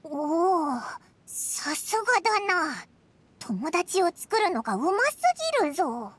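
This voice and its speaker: A woman's voice: very high voice, very animated, slow pace, a bit bright, exaggerated voice. A woman voicing a tiny, blunt and encouraging childlike fairy companion in a Japanese game, with brash boyish speech. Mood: teasing.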